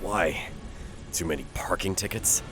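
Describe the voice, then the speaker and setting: A man's voice: low-pitched, unhurried. An actor playing a wisecracking adult male ship skipper in a fan audio drama, sarcastic and taunting. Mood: mocking. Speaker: hero. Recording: music underneath.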